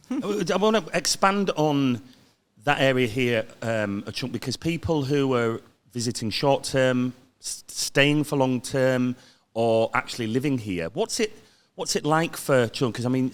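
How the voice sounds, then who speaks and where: A man hosting a podcast, interviewing in a casual, chatty way and often rephrasing his questions as he goes. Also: mid-range voice. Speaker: podcast host.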